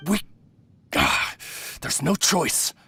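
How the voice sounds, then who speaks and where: A determined guard in a fantasy game. The voice is rough and gravelly with a hard, forceful edge. It sounds like someone hunting a fugitive. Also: male voice, on the high side, huge pitch swings, very slow, soft background music, heavily acted. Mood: alarmed, resolute. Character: gruff, determined male soldier hunting a fugitive. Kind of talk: fantasy video game voice acting.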